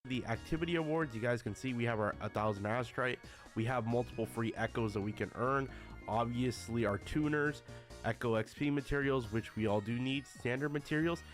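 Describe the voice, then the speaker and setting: A man's voice: mid-range voice, a little fast, soft background music. Slightly sick male gaming YouTuber. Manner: addresses viewers often as guys. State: a little sick. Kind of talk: casual video commentary.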